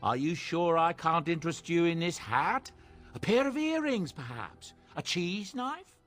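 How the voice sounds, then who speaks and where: A man's voice: a little high, big pitch swings, measured pace, a bit bright, faint music behind. A man voicing a genial middle-aged dwarf merchant in a fantasy game, with an obsequious salesman's courtesy. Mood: ingratiating.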